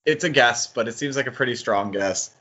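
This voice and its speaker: A man's voice: medium pitch, a little fast, pushed and bright, slightly rough. Man podcast co-host, dull lo-fi sound. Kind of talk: casual analytical podcast discussion of comics.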